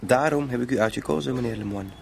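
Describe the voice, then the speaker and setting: A man's voice: medium pitch, quick pace, vocal fry, a little mellow, close to an everyday voice. A man playing a self-assured blackmailer in a radio drama, with a mock-polite, insinuating way of talking. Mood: cool, threatening.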